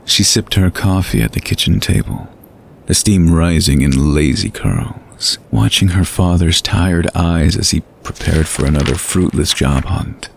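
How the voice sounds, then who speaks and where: A man narrating a scripted fantasy bedtime story in a measured, atmospheric way. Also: deep voice, gravelly, a little hiss or hum. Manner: measured, literary, atmospheric delivery. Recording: light music behind the voice.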